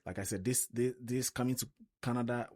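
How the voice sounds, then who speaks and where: A man's voice: mid-range voice, steady tone, fairly quick, darkish tone. A young man with a Ghanaian accent, talking earnestly on a radio show and often restarting with "I mean". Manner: earnest, repeats words and uses many "I mean" fillers. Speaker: co-host. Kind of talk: casual radio interview.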